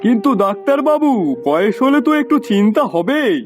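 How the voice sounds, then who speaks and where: A man's voice: very high-pitched, lively intonation, a little fast, faint music behind. A man voicing an ailing elderly master in a cartoon, plaintive and fatherly as he grumbles a little. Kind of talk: cartoon voice acting.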